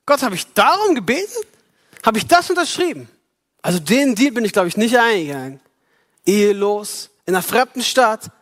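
A man's voice: high voice, very animated, normal speed, a bit bright. A man acting out an exasperated young pregnant woman far from home who asks indignant rhetorical questions. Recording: long hall reverb.

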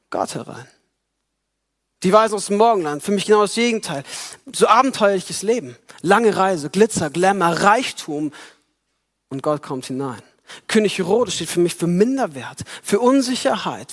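A man's voice: sits high, fairly bright tone. A man preaching a Christmas sermon in a reflective way, speaking directly to his listeners. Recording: long hall reverb.

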